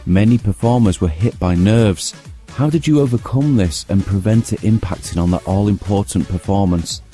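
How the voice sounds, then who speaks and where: A man hosting a radio show, reading interview questions in a measured, even way. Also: fairly low pitch. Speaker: radio host. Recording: music bed underneath. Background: music.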